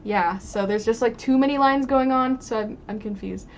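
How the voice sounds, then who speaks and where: A woman streamer with a noticeably creaky voice chats casually with her viewers. She rambles and thinks out loud in an informal way, with some steady noise behind her. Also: a little high, normal speed. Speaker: live streamer drawing digital art and chatting with viewers. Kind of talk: casual livestream chat.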